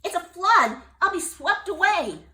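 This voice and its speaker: A woman voicing an earnest, fretful young male bullfrog in a children's story, with a high, excitable voice. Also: normal speed, lightly acted. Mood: panicked. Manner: high, excitable, tearful at times. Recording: echoey room.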